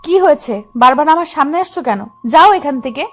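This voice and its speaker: Woman acting a wounded, high-voiced young wife. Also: lively intonation, brisk. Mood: indignant. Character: hurt, devoted young wife and mother, indignant. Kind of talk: dramatised audio love story. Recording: music under the voice.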